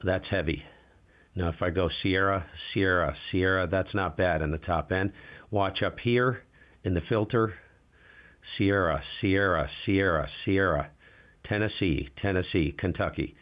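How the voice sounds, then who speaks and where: A man with a very low voice running a casual live ham radio demo, talking to himself as he adjusts settings. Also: unhurried, dull audio. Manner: relaxed, talks to himself while adjusting gear. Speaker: ham radio livestream host.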